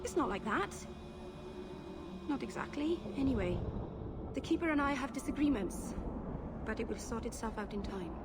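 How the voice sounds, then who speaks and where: A sweet, socially awkward young elf from a fantasy game, played by a woman. Her voice is fairly high, with a nervous, apologetic, halting delivery. Clear ambient noise surrounds her. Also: animated, natural voice. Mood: evasive.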